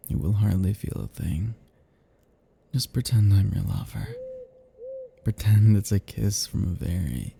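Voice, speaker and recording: A man performs a brooding immortal vampire in an intimate ASMR roleplay. The voice is very mellow and slow, full of deep creak, slipping into breathy whispers with a seductive, possessive menace. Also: a little hiss or hum, full character voice. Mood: hungry, coaxing. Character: seductive, melancholy, possessive male vampire, self-loathing immortal predator.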